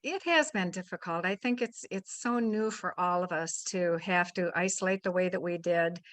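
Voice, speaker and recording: A woman's voice: fairly low pitch, normal speed, fairly bright tone. A woman of seventy-two chatting casually about her own experiences in an interview. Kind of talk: casual personal conversation.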